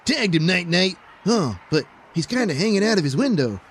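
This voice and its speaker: Very high-pitched excitable sidekick. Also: male voice, normal speed, noisy background. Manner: excitable, protesting. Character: whiny, excitable, foul-mouthed young superhero sidekick. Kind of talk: comedic superhero audio play acting.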